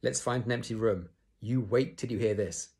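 A man's voice: mid-range voice, moderate pace, a little mellow, lightly acted. A man voicing an earnest schoolboy who talks urgently and breathlessly.

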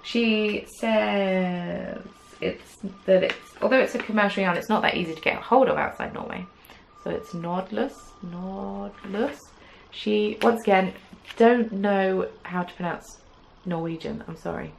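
Gravel-edged woman chatting about yarn. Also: medium pitch, a little slow, a little hiss or hum, poor audio. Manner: chatty, rambling, many "um"s, playful asides. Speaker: knitting podcast host. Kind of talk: casual chat to camera. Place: small indoor craft room with yarn shelves. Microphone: camera at desk distance.

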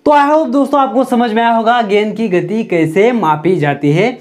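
A man's voice: sits high, normal speed, darkish tone. Young male teacher at a whiteboard. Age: young adult. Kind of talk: whiteboard lesson. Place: indoor room in front of a whiteboard. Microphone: lapel mic.

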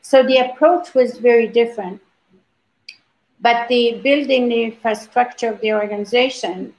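A woman's voice: fairly high pitch, moderate pace, a little mellow, rough recording. Older woman recounting activism emphatically. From Libya. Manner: emphatic storyteller, frequent restarts. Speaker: organization founder, panelist. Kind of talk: recounting activism in a video call panel. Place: indoor home room. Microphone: video call.

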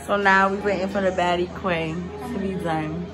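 A woman's voice: fairly low pitch, steady tone, normal speed. A young woman in her twenties vlogging casually on her phone during a trip, chatting to viewers as y'all. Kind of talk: casual travel vlog chat. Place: restaurants, streets and a rental apartment on a trip. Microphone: phone held at arm's length. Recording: loud music and crowd behind.

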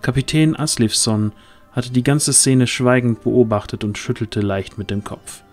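A man's voice: medium pitch, a little slow, darkish tone, soft background music. A man reading scripted narration for a fantasy audio drama.

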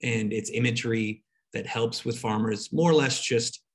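A man's voice: medium pitch, normal speed. Man presenting slides in informal explanatory style. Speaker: webinar presenter. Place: online video call.

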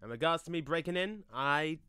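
A man's voice: on the high side, animated, brisk, sharp tone, clear, resonant tone, no echo, studio quality. A man playing a playful, casual, laid-back male ghost who likes pranks, in an audio play.

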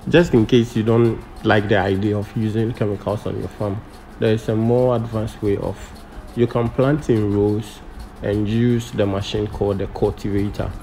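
A man's voice: on the low side, little pitch movement, moderate pace, dark tone, soft background music, rough recording. A man explaining farm work in an instructional voice-over, with frequent ums and okays. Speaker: farming channel presenter.

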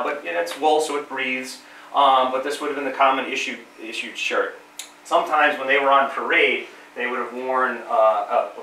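A bright-toned middle-aged man, a little nasal from a cold, talks a small group through old gear in a friendly, halting way full of fillers. He is picked up by a camera across a small, echoey library room. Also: mid-range voice, slight background noise. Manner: friendly, many fillers and repeated words. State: has a cold, slightly nasal. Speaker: living history presenter. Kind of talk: informal history talk to a small audience. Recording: roomy, distant camera sound.

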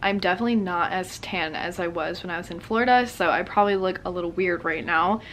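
A woman's voice: fairly low pitch, quick pace, fairly bright tone. Young woman, casual makeup vlog chat, slightly congested voice, home bedroom, camera mic, faint background hum. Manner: chatty, lots of "like" fillers. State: sore throat, a bit congested. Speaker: beauty vlogger. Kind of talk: casual get-ready-with-me chat. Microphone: camera in front, close framing.

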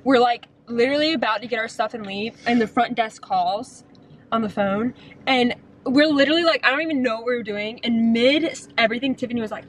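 A woman in her twenties, chatting casually into a handheld camera as she vlogs from a car and a hotel room, telling stories with quick asides. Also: on the high side, a little hiss or hum, poor audio. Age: 20s. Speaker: vlogger. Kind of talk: casual vlog chat. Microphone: handheld camera at arm's length.

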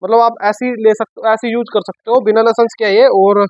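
A man, giving a casual unboxing and sales pitch as a shop presenter, explaining informally and repeating himself. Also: little pitch movement, fast talker, lo-fi sound, a little hiss or hum. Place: shop office with sofa, indoors.

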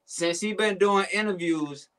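A man's voice: high voice, unhurried, fairly bright tone. A man co-hosting a podcast, arguing emphatically in casual banter, repeating "check it out" and "bro". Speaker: podcast co-host. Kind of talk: casual argumentative chat.

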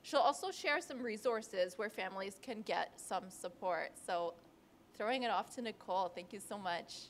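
A woman's voice: mid-range voice, normal speed, a little hiss or hum. A young woman moderating a panel, reading a speaker's introduction with small restarts, on a handheld mic. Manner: reads a list with small restarts. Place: panel stage in a large indoor room.

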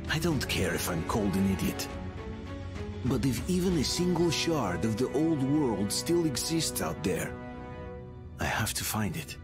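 An actor playing a weary soldier who narrates the opening of a game in a grave, reflective voice, over loud music. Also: male voice, mid-range voice, very animated, a little slow. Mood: solemn. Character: weary male soldier narrating a ruined world.

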